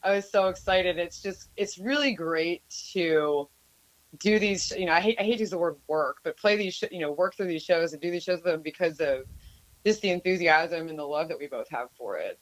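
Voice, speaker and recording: Woman with a deep voice, chatty and laughing. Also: brisk, low-quality recording. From United States. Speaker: singer, interview guest. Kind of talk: casual interview chat.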